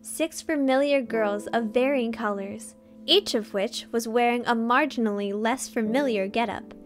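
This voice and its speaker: A woman's voice: fairly high pitch, unhurried, soft background music. A woman reading a fan fiction story aloud as narrator, in a scripted storytelling style.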